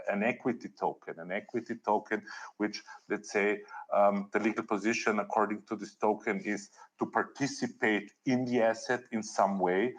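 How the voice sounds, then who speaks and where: A man speaking as a panelist at a conference, explaining carefully and filling pauses with hesitations. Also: fairly low pitch. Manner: careful, hesitant, heavy on fillers.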